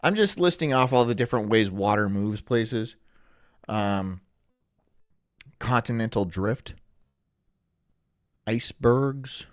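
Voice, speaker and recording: A young man from Florida chatting casually on a live stream, rambling through jokes and deadpan absurd asides. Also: mid-range voice, takes it slowly, pauses often, no room sound, studio quality. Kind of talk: casual live-stream chat reacting to a video.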